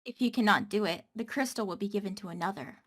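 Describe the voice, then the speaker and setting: A woman's voice: mid-range voice, quick pace, a bit bright, a little hiss or hum, lightly acted. A woman voicing a devoted yet commanding guardian angel in a dramatic script reading. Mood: solemn.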